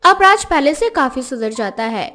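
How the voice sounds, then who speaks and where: A woman's voice: sits high, very animated, moderate pace, bright, forceful tone. A woman giving scripted narration for a moral story.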